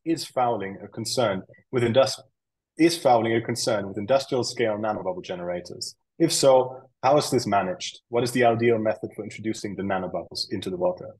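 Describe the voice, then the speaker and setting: A man's voice: mid-range voice, a little mellow, breathy edge, rough recording. A man hosting and moderating a webinar, steadily asking questions and reading out audience questions.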